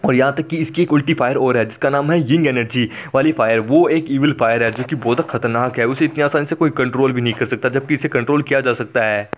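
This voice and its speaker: A young man excitedly narrating a cartoon recap in a chatty, slangy way. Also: mid-range voice, fast talker, hardly any pauses. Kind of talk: casual explainer narration.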